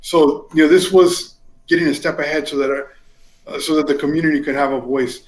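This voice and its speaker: In a roomy, echoing space, a man in his forties talks in a fairly high voice. He is a native Spanish speaker from California, an interview guest telling stories in a casual, animated way. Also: brisk. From East San Jose, California, United States. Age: 40s. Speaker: interview guest, community politics commentator. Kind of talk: casual personal storytelling.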